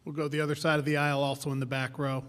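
A man moderating a press conference, briskly directing who asks next. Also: a little high, little pitch movement, fairly bright tone. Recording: distant voice in a reverberant room.

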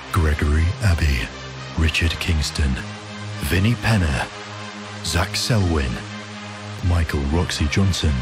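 Extremely deep, slow male announcer. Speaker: credits announcer. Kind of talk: scripted podcast credits. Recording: music under the announcement.

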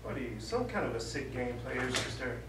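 A man's voice: mid-range voice, very animated, brisk, close to an everyday voice. A man on stage playing a sarcastic, grumbling husband with a dry, impatient manner. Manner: dry, exasperated. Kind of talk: live stage play acting. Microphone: far camera. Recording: echoey stage, distant mic, noisy.

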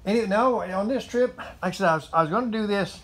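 A man's voice: sits high, fast. A man in his seventies, a motorcycle vlogger, talking in a folksy, meandering way with many fillers and repeats, recorded on a camera set up in a metal carport. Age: 70s. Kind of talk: rambling casual monologue to camera. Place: metal carport garage, indoors.

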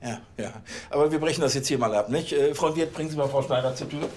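An actor playing a fussy, officious police chief who haltingly gives orders in a TV crime comedy. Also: male voice, fairly high pitch, a little mellow, slight background noise, natural voice. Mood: flustered, officious.

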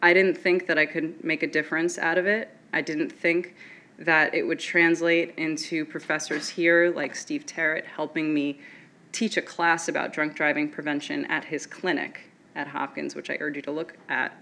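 A young woman telling a personal story from a podium on stage, earnest and steady. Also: low voice, moderate pace, a little hiss or hum.

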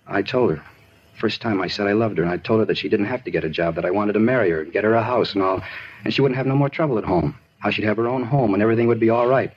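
Rambling, earnest American crime suspect, low and fast, vintage radio drama. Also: male voice, slightly rough. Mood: intense, self-justifying. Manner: rambling, repetitive, insistent, plain-spoken. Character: talkative murder suspect, earnest, aggrieved, disturbed man. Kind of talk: 1950s radio drama acting. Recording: dull vintage radio recording.

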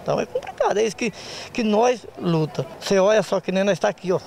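Older brazilian guy at an outdoor market, gravelly high voice, plain rural talk, a little street noise. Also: expressive, quick pace, darkish tone. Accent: Brazilian Portuguese. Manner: plain-spoken, rural speech, repeats words. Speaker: market association president. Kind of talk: street interview. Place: open-air market lot outdoors. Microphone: handheld reporter mic.

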